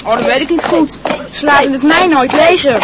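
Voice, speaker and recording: A woman's voice: high voice, brisk, close to an everyday voice. A woman acting a cocky, scheming teenage schoolboy in a radio play, with a brash, bossy manner. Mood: evasive. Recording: loud crowd noise behind.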